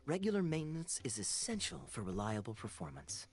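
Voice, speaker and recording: A man's voice: mid-range voice, huge pitch swings, a little fast, darkish tone. An actor playing an earnest, caring young man in a video game, speaking persuasively. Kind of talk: game character dialogue.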